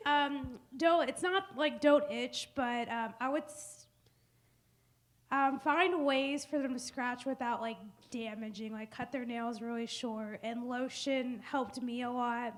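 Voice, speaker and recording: A young woman of twenty with a fairly high voice. She talks casually as a panelist, offering practical advice in everyday conversational language. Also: slow. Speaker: panelist at a patient support symposium.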